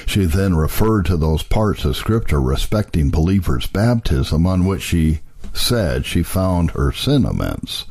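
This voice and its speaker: Deep, husky man reading solemnly. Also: very animated, measured pace, darkish tone. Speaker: narrator reading historical religious texts. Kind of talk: solemn audiobook-style reading of an old religious text.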